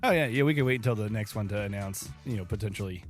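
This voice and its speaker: A man co-hosting a podcast, chatting casually over beers with a hoarse voice, rambling and laughing often. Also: lowish voice, fast talker, faint music behind. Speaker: podcast co-host.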